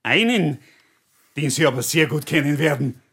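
A man's voice: a little high, expressive, normal speed, creaky, a bit bright, a little hiss or hum. A man playing a pompous, hammy narrator in an exaggerated Bavarian folk comedy. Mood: pompous, amused. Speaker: actor at a radio play table read. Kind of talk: exaggerated folk-theatre announcer.

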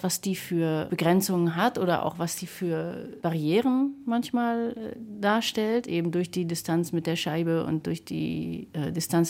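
A woman's voice: fairly low pitch, a little mellow. A woman, a composer and radio drama maker, explaining how she works in an interview.

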